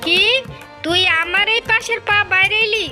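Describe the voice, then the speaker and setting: A woman plays a jealous fisherman's wife in a cartoon fairy tale. The voice is extremely high and piercingly bright, insistent and argumentative, with music underneath. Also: normal speed, full character voice. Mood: furious. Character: jealous, bickering village wives of a fisherman.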